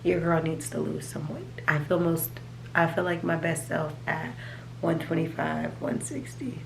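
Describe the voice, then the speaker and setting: A woman with a raspy, allergy-hoarse voice, chatting casually to her viewers at home, rambling as she shows her planner. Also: low voice, flat delivery, unhurried, echoey room, slight background noise, poor audio. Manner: rambling, chatty, talks to viewers as "you guys". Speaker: vlogger. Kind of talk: casual chat. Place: home, at a desk.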